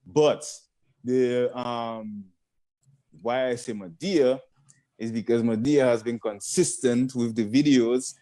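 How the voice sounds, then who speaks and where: A man's voice: mid-range voice, slow pace, mellow. A young man with a Caribbean accent, a guest comedian chatting casually and rambling as he searches for words. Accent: Caribbean English. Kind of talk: casual chat.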